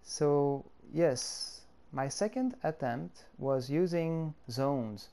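Slow French-accented man explaining a tutorial. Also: on the high side, gravelly, warm tone. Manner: unhurried, explaining step by step with fillers. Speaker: tutorial creator. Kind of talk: screen-recorded game-editor tutorial.

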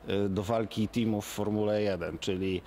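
A man answering interview questions in a halting way, pausing often on hesitation sounds. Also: lowish voice, fairly even intonation, darkish tone, a little hiss or hum.

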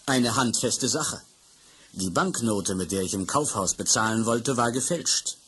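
An actor playing a kindly father who is an actor and theatre director, talking in a calm, reassuring way in a vintage radio play. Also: mid-range voice, unhurried, slight background noise.

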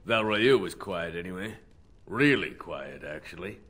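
A man's voice: sits low, animated, unhurried, a bit bright, husky, full character voice. A man playing a wry, cynical rogue in a video game, speaking in a dry, sardonic, terse manner.

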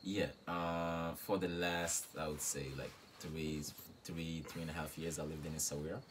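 A young man from Morocco with an extremely low voice chats in a relaxed way, full of fillers and quiet laughs. He is recorded close on a phone in a small room, with a faint hiss behind him. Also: measured pace. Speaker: guest rapper. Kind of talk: casual interview. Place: small room with reed-panel wall.

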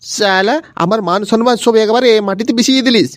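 A man's voice: high voice, fairly quick, dry recording, studio quality, close to an everyday voice. A man voicing an angry, foul-mouthed old grandfather in a comedy cartoon, scolding and repeating himself. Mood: exasperated scolding. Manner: scolding, repetitive, ranting.

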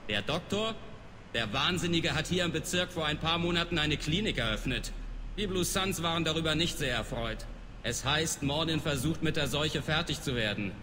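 An actor playing a dismissive alien mercenary guard in a video game, curt and contemptuous of humans. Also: male voice, on the high side, moderate pace, sharp tone. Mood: matter-of-fact. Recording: reverberant game scene with clear background noise.

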